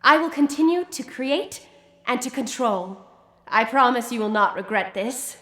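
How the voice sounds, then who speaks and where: Young woman inventor, poised but brittle. High bright voice, echoey stage, radio play. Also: lively intonation, unhurried, very noisy. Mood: earnest, triumphant. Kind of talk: live radio drama acting. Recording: echoey live stage broadcast.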